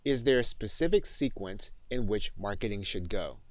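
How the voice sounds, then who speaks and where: Low-voiced man giving a methodical tutorial. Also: animated, dry recording. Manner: methodical, instructional, deliberate phrasing. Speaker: software instructor. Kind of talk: tutorial narration with spoken dictation demonstration.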